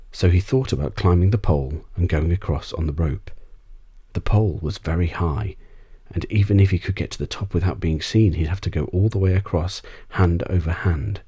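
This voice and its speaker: Very deep, husky man reading bedtime stories. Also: mellow, no echo, studio quality. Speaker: audiobook narrator. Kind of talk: scripted bedtime story narration, ASMR.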